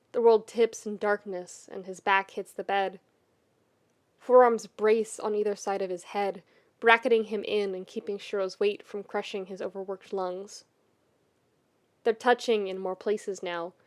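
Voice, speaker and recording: A woman reading fiction as a dramatic, emotive narrator, measured and with deliberate pauses. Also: mid-range voice, darkish tone. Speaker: podfic narrator.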